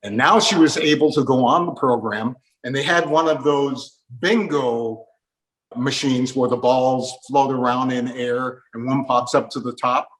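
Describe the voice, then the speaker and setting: A man's voice: medium pitch, a little slow, a bit bright, rough recording. An older man hosting a conversational interview, reminiscing and explaining old broadcasts.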